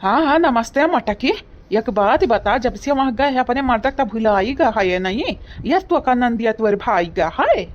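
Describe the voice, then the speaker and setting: A woman's voice: a little high, expressive, moderate pace, dull audio, slight background noise, natural voice. A woman voicing a weary adult village husband in a cartoon, speaking with an Awadhi accent in a plaintive, grumbling way. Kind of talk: cartoon comedy voice acting.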